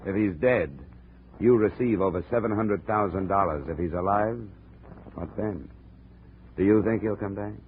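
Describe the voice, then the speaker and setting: A blunt, hard-boiled American investigator from radio noir, played by a man. His voice is low, and he talks in a terse, dry, matter-of-fact way. The recording is a narrow, noisy 1950s broadcast. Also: moderate pace, pauses often, lightly acted. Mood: firm, probing. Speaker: lead actor of a radio detective series.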